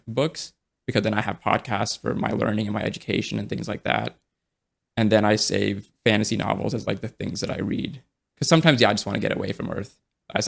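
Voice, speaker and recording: Gravelly-voiced young man chatting casually. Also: lowish voice. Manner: relaxed, rambling, many fillers and restarts. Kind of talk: casual podcast conversation.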